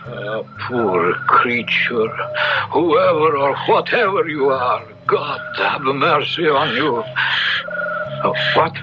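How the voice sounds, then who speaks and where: An actor playing a compassionate, pious priest with earnest theatrical delivery in a 1970s radio drama. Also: male voice, medium pitch, lively intonation, slow, muffled recording, noisy background. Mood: pitying, startled. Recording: band-limited 1970s radio with music.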